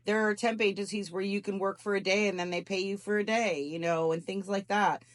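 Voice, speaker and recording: A woman's voice: mid-range voice, fairly even intonation, brisk. A woman giving casual advice on a phone call, cautioning and repeating her points for emphasis. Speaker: scam investigator giving job advice. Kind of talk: casual advisory phone conversation.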